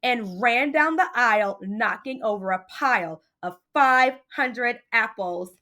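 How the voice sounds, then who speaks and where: A woman reading a picture book aloud like a teacher, in animated storytelling style, speaking directly to young listeners. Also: fairly high pitch, measured pace. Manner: addresses child listeners directly, with questions.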